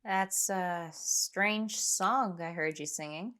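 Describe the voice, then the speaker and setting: An actress playing a troubled young castaway woman, telling her story earnestly and with measured care in an audio drama. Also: fairly low pitch, bright, forceful tone. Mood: questioning. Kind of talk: dramatic first-person audio drama narration.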